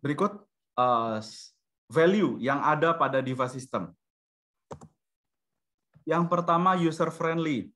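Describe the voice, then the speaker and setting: Man, Indonesian accent, fairly high bright voice, explanatory webinar presenter, semi-formal corporate pitch, English business terms mixed in. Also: animated, slow, long pauses. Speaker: webinar presenter for a software company. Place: online video-conference webinar.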